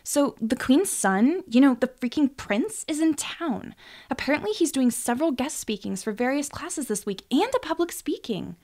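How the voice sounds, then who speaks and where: A woman voicing an excitable, gossipy young female student in an audiobook, gushing and dramatic. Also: sits high, normal speed, pitched above the performer's own voice.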